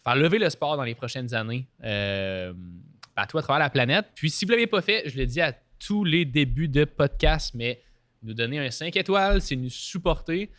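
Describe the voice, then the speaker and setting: A man's voice: mid-range voice, expressive, normal speed, a bit bright, dry recording, clean studio sound. A man with a Québécois French accent hosting a climbing podcast, talking casually with many fillers and restarts. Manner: filler-heavy, restarts, frequent laughs. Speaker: podcast host.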